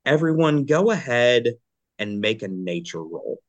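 A man narrating a tabletop game as game master, calling for rolls, in a relaxed, descriptive manner. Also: mid-range voice, measured pace, highs cut off.

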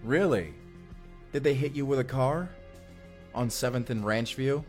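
An actor playing a weary, suspicious young time traveler in an earnest, intense sci-fi audio drama. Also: male voice, mid-range voice, unhurried, soft background music, lightly acted. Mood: suspicious, confrontational. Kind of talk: immersive sci-fi audio roleplay acting.

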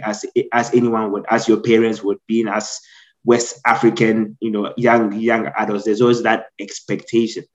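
A young man with a Ghanaian accent, a guest telling his own story in an earnest, measured way. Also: on the low side, lo-fi sound. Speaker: guest, trainee solicitor. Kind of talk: reflective personal storytelling.

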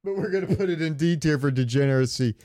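A man's voice: a little high, fast, mellow, no echo, studio quality. A man chatting casually and crudely as a podcast co-host, swearing freely. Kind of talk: casual banter about game sounds.

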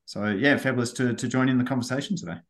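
An Australian man, a guest on a podcast interview, chatty and quick to repeat words as he gets going. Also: mid-range voice. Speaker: guest, head of sustainability.

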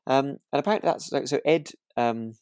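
A British man talks as a podcast co-host in a medium-pitched voice, recorded close and dry. He rambles a little, feeling his way through each story in casual conversation. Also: a little fast, a little mellow, no echo. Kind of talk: casual chat about a radio drama.

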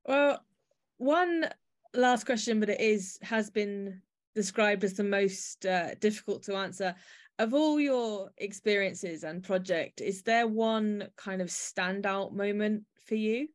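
A woman hosting an interview podcast, speaking in a measured, articulate, explanatory way. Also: mid-range voice. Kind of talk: interview chat and scripted introduction.

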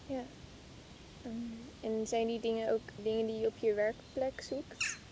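A woman's voice: steady tone, measured pace, mellow. A woman asking questions as the interviewer in a casual podcast conversation. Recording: faint low rumbling background noise.

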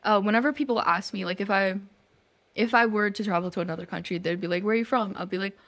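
A woman's voice: medium pitch, fast, a bit bright. A young woman from Nepal co-hosting a casual chat. She talks in loose, rambling sentences full of fillers and restarts. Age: young adult. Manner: chatty, many fillers and restarts. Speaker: co-host.

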